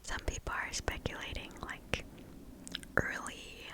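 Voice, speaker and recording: A woman reading a first-person story aloud in ASMR style, in a soft breathy whisper very close to the microphone, pausing deliberately between phrases. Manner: slow, deliberate, hushed delivery with pauses between phrases.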